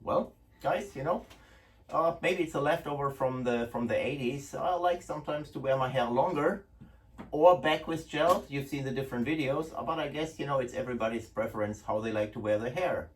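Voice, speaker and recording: A middle-aged man from Germany with a clear German accent, talking casually to camera as the host of his shop's channel. His speech is chatty and full of fillers and restarts, with a middling pitch. He sits back from the mic, so the sound is distant and roomy. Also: a little fast. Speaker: host, gun importer. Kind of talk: casual product talk to camera. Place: indoor office room. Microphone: far camera.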